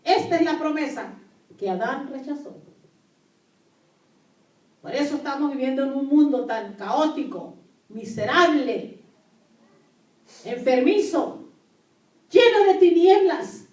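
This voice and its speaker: A woman's voice: sits high, expressive, takes it slowly, pauses often, a little mellow. A woman pastor preaching a sermon in Spanish as a native speaker, emphatically repeating key phrases and putting questions to her listeners. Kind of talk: sermon with scripture reading. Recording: echoey room, distant microphone.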